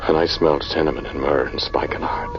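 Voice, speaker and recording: Deep-voiced, haunted scientist in radio horror. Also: male voice, fairly even intonation, whispered. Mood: hushed, entranced. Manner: brooding, dramatic, halting delivery. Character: haunted male scientist recounting a terrifying tomb ordeal. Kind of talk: 1940s radio horror drama acting. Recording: dull vintage radio recording with music behind.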